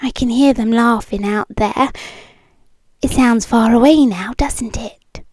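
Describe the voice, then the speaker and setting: A breathy British woman performing as a yandere girlfriend, speaking softly and very close in a dry ASMR recording. Her voice is high and often slips into a whisper. She is coaxing, doting and quietly possessive. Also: no echo. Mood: tender, possessive. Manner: slow, soft, intimate and coaxing. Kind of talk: intimate ASMR roleplay. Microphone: close microphone, dry.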